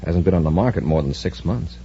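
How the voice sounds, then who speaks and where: A muffled, noisy old radio serial gives us a space patrol commander. His voice is low and relaxed, and he speaks with deliberate, watchful authority. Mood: calm, watchful. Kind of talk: 1950s radio adventure serial acting.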